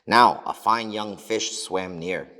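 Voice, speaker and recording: A man reading scripted fables as a storybook narrator, expressive and deliberate. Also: lowish voice. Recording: long hall reverb.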